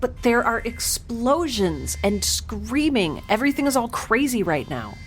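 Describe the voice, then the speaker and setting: A woman's voice: fairly high pitch, sing-song, unhurried, faint music behind. A woman playing a plucky, impatient young scientist in a space audio drama, talking in a casual, chatty way. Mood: pleading, flustered. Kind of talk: scripted audio drama acting.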